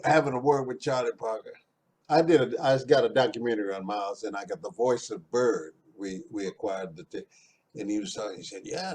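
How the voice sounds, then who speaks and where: A man's voice: medium pitch, darkish tone. A man hosting a casual interview, eager and friendly, full of slang as he trades stories with his guest. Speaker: host.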